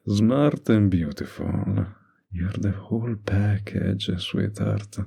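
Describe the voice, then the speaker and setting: A man acts out a smooth-talking mafia boss in an ASMR roleplay. His voice is deep and very raspy, with gravelly fry at the edges. He teases and threatens in the same unhurried, dominant, flirty drawl. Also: animated, dark tone, heavily acted.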